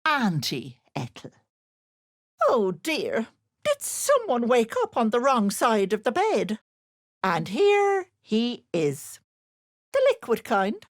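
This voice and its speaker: A woman's voice: fairly high pitch, huge pitch swings, takes it slowly, dry recording, studio quality, heavily acted. A woman voicing a sly, syrupy older auntie witch in a video game, cooing endearments with an edge of menace. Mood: sugary, scheming. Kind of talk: video game character voice lines.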